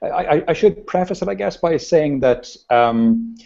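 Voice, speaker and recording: A male host speaks in a mellow, darker voice, easygoing and halting, often restarting his sentences. He is heard over a video call with muffled, lo-fi audio. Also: fairly high pitch, lively intonation, vocal fry. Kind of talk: casual video chat.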